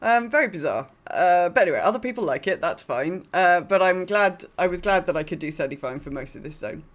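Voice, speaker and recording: A woman's voice: on the low side, lively intonation, a little fast. A woman co-hosting a podcast, chatting casually about gaming in a wry, conversational way with occasional swearing. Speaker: podcast co-host.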